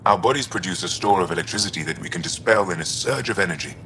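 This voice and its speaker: A courteous alien physician for a sci-fi game. The voice is low and bright-edged, the delivery measured and formal. Faint ambience sits behind it. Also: male voice, animated, slight background noise. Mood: measured, curious. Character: calm, courteous male alien doctor. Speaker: doctor. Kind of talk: video game dialogue.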